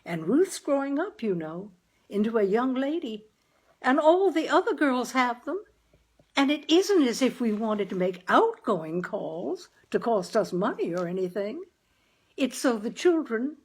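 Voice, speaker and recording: A woman's voice: a little high, darkish tone, slightly rough, pitched above the performer's own voice, full character voice. A woman voicing a persistent, homely mother in a storybook reading, coaxing and rambling in folksy phrases. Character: gentle, persistent, wheedling mother, homely and chatty.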